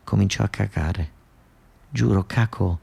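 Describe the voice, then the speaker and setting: A man's voice: fairly low pitch, a little slow, creaky, darkish tone, breathy edge. A man with a southern Italian, Neapolitan-flavoured accent performing a theatrical monologue, telling the story in a dramatic, earthy way with repeated emphasis. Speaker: actor-storyteller. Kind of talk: theatrical monologue narration with dialect.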